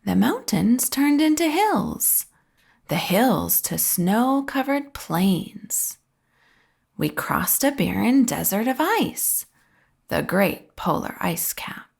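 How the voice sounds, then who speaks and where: A woman reading a children's story aloud with expressive, drawn-out phrasing and a breathy edge at the ends of phrases. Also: fairly high pitch. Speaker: storybook reader.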